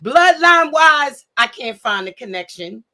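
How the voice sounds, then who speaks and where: A woman's voice: sits high, sing-song, a little slow, pushed and bright. A woman in a heated casual debate, talking insistently and emphatically, often restarting her sentences. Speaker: discussion participant.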